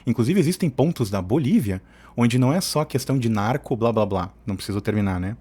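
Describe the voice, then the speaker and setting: A man's voice: mid-range voice, expressive, quick pace, mellow, breathy edge. A man giving informal, opinionated political commentary as a channel host, often digressing in colloquial speech. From southern Brazil.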